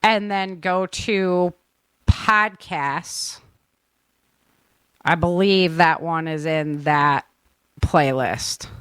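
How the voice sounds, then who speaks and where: A woman's voice: on the low side, drawn-out words, pauses often, a bit bright, smooth, no room sound. A woman hosting a podcast in casual, upbeat and informal chat. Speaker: podcast host.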